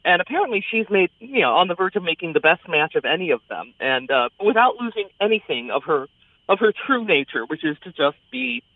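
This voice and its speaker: A woman's voice: lowish voice, big pitch swings, a little fast, no echo. A woman giving a chatty, enthusiastic, conversational radio review as a theater critic. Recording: thin phone-like sound, dry.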